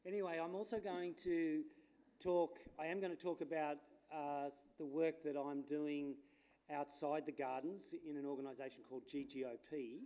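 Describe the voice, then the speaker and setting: A man, a landscape architect giving a loud, chatty conference talk with many fillers. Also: fairly high pitch, level tone, normal speed. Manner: chatty, self-deprecating, with fillers; says his voice is loud. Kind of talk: informal conference presentation. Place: lecture room at a conference.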